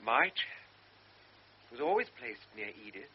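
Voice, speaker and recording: A wistful young officer looking back. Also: male voice, mid-range voice, sing-song, unhurried, breathy edge, heavily acted. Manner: reflective first-person narration. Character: young lieutenant recalling the past, wistful. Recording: dull old radio recording.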